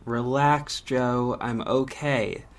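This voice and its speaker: A man speaking drama dialogue in a brisk, evasive, joking way. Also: medium pitch, fairly even intonation. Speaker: lead character in dialogue.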